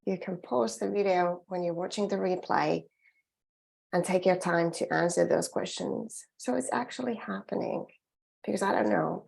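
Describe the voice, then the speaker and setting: A middle-aged Finnish woman speaks English with her native accent, presenting a coaching webinar. Her voice has a gravelly, creaky edge at a middle pitch. She sounds earnest and encouraging and often restarts her sentences. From Finland. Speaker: emotional well-being coach.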